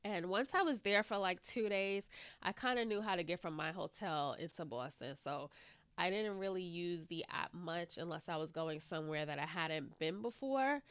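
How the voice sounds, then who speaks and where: A woman's voice: on the low side, moderate pace, a bit bright, clean tone, no room sound. A woman hosting her own podcast, talking in a chatty, rambling way with a slightly stuffy, congested voice. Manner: chatty, rambling, lots of "like" and "you know". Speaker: podcast host. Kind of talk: casual solo podcast monologue.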